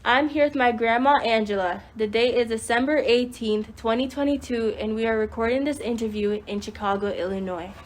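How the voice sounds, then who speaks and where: A young woman interviewing her grandmother, reading prepared questions with hesitant pauses. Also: on the high side. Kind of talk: oral history interview questions. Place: home in Chicago.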